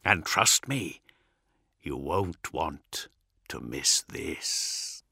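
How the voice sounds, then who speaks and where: A man voicing a cryptic, grandiose dark apostle warrior in an audiobook, portentous and slow, at times breathy. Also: extremely low pitch, expressive, no echo, studio quality, voice pushed down, heavily acted. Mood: mocking, ominous.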